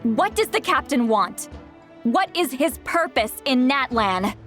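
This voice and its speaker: A woman playing a feisty, scolding granny with a caring streak in a dubbed game, sharp-tongued, exasperated and theatrical. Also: sits high, very animated, a little slow, exaggerated voice. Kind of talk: dubbed video game dialogue. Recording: faint music under the voice.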